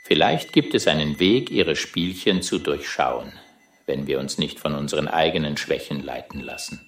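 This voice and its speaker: A bottomless bass in an echoey space gives us a gruff male space traveler acted by a man. He is dry and sardonic throughout this radio play. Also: lively intonation, moderate pace. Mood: dry, scornful. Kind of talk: scripted audio drama acting. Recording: echoey room.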